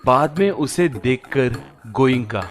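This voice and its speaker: A man's voice: mid-range voice, lively intonation, slow pace, over music. A man narrating a scripted moral story as a storyteller.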